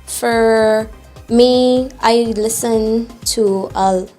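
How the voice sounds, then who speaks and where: Lively Barbadian woman singer. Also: fairly high pitch, extremely slow, faint music behind. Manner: lively, laughing storyteller. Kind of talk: casual interview chat.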